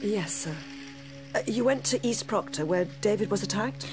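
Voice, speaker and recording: An actress playing a young nurse, polite, guarded and hesitant, in a horror radio drama. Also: female voice, mid-range voice, animated, normal speed, close to an everyday voice. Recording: music behind the voice.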